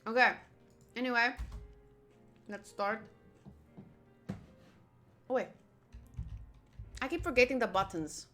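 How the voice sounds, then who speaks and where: Bright-voiced young-sounding woman game streamer. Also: fairly high pitch, slow, long pauses, soft background music. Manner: playful, chatty, self-interrupting. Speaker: streamer playing a visual novel. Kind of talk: livestream playthrough, reading game text aloud with chatty asides.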